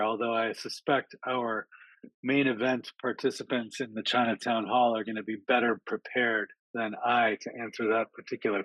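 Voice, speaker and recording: A man's voice: medium pitch, a bit bright, dry recording, poor audio. A man giving a casual talk to an online audience over a video call, friendly and chatty, with frequent ums and uhs. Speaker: speaker at an online town hall.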